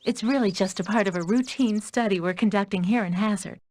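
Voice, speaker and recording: A woman's voice: medium pitch, fairly quick, a little mellow, no echo, lightly acted. A woman playing a polite, composed young researcher in a video game cutscene.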